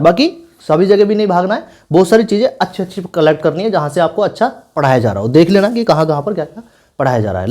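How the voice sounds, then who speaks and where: Online coaching teacher, middle pitch, instructive and repetitive, informal advice delivery. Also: darkish tone. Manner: repetitive, instructive, frequent check-in tags. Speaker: online exam-prep teacher.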